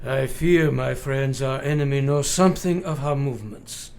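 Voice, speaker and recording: An actor playing a grave, commanding elderly professor in a radio play, speaking in formal, old-fashioned, deliberate phrases. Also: male voice, medium pitch, creaky, a little mellow, slight background noise, close to an everyday voice. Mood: brooding.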